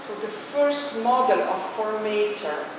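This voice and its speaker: A Polish woman delivers a reflective religious speech in accented, halting English at an unhurried pace. Her voice comes from a distance in a very echoey hall, with clear background noise. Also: medium pitch, expressive. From Poland. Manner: halting, searching for words in non-native English. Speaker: religious leader, speaker. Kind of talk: informal closing speech with spiritual reflection. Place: large echoey hall, speaking to a gathering. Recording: echoey hall from far off, noisy.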